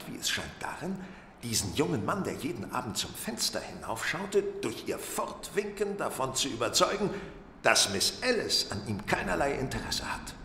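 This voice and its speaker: Keen detective, bright voice, echoing room. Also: male voice, medium pitch, gravelly, heavily acted. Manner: deliberate, precise, formal. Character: keen, self-assured male detective explaining a case. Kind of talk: German radio play acting. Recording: roomy, distant, echoing, faint noise.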